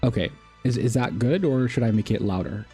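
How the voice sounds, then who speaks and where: A man's voice: mid-range voice, a little fast, mellow, soft background music. A man live-streaming a game, commenting casually and reading game text aloud.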